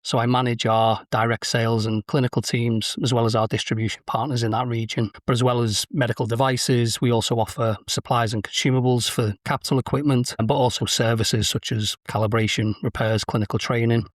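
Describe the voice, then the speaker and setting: A man who is a sales manager speaking as a guest on a podcast, in a measured, plain-spoken way. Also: medium pitch, level tone, no room sound, clean studio sound. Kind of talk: podcast interview.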